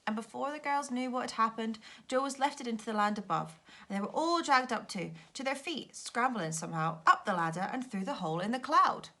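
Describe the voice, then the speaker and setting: A woman reads a children's story aloud like a primary teacher. Her voice is bright and clear-cut in tone, with highly animated pitch that follows the narration expressively. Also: fairly quick, hardly any pauses.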